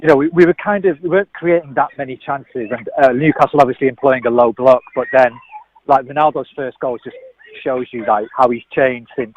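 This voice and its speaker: A man's voice: medium pitch, fairly even intonation, brisk. Male pundit, enthusiastic and rambling, dull remote line with faint hiss. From England. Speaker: football pundit. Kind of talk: casual sports analysis.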